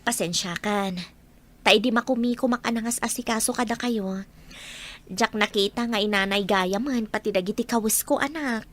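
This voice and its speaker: Woman acting a high-voiced, burdened Ilocano mother. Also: normal speed, a bit bright. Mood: apologetic, sad. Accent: Ilocano Filipino. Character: wary, burdened mother raising children alone. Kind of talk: Ilocano audio drama acting.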